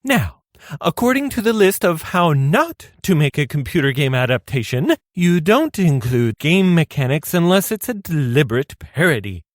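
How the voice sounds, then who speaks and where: A man's voice: a little high, sing-song, normal speed, no room sound, clean studio sound. A man playing a fussy, prim little gourmet judge in a comedy radio play, precise and theatrical. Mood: instructive.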